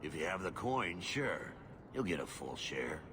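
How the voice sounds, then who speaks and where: A man voicing a gruff, suspicious, money-minded dwarf expedition boss in a video game, blunt and brusque. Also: deep voice, very animated, a little slow, background noise. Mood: gruff, businesslike. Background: loud music.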